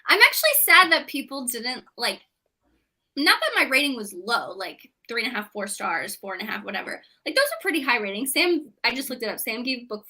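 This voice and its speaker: Bright, higher-pitched chatty woman. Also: big pitch swings, a little fast. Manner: chatty, peppers talk with "like". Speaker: book club panelist. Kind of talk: casual book chat on a live show.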